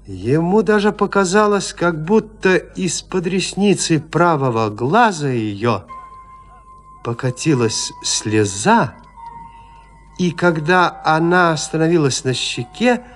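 A man narrating a literary audio drama with measured, suspenseful, theatrical phrasing. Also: on the high side, animated, faint music behind.

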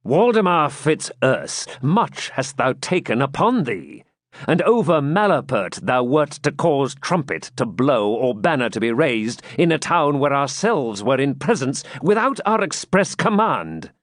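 Vain, imperious prince for a medieval storybook, male reader: pompous archaic phrasing, highly animated pitch, close dry sound. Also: measured pace, no room sound. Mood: offended, haughty.

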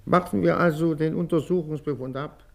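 A man's voice: a little high, fairly even intonation, brisk, vocal fry. An actor playing a stubborn, dismissive police inspector who lectures his subordinate emphatically in a German radio crime drama. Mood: exasperated lecturing.

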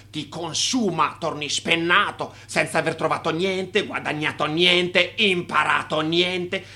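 Sharp, scolding uncle. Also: male voice, on the high side. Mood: exasperated, mocking. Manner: scolding, sarcastic. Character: exasperated, sarcastic, lecturing uncle of a wayward nephew. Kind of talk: theatrical radio monologue.

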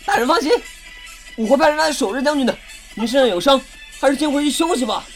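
A woman's voice: sits high, animated, fast, faint music behind. A woman acting a dutiful, caring young military subordinate in a Chinese audio drama. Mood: earnest, concerned.

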